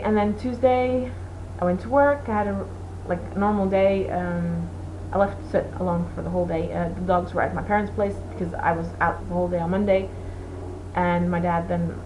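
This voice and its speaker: Woman, Portuguese first language, casual chat, lots of fillers, slightly low voice, faint hiss. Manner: rambling, chatty, many fillers. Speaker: knitting podcast host.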